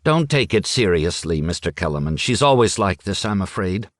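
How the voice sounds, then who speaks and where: A man voicing a meek, apologetic husband who placates softly. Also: deep voice, animated, fairly quick, no room sound, clean studio sound.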